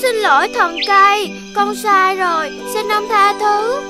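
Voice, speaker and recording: A voice actor playing a small, earnest, outspoken cartoon child with exclamatory, drawn-out phrasing. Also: very high voice. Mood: apologetic. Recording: loud children's music behind voice.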